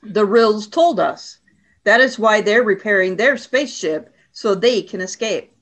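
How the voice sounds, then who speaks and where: A woman's voice: medium pitch, measured pace, natural voice. Woman playing an imperious alien warrior commander, cold and stern. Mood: cold, severe. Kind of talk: radio play acting.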